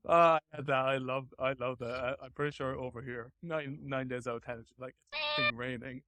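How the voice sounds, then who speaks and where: A man hosting a casual tech podcast chat, with hesitant, halting phrasing. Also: medium pitch, huge pitch swings, gravelly, bright, forceful tone, no room sound. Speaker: podcast host.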